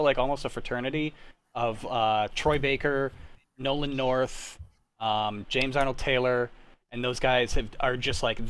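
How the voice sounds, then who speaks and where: A man's voice: mid-range voice, a bit bright. A man, chatting as a podcast panelist in an emphatic, slangy way, often searching for words. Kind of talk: casual chat.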